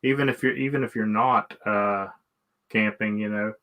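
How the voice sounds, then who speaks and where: A man's voice: lowish voice, steady tone, moderate pace, a bit bright. A man with an American accent hosting a casual chat, asking questions in a folksy, plain-spoken way. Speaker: live stream host.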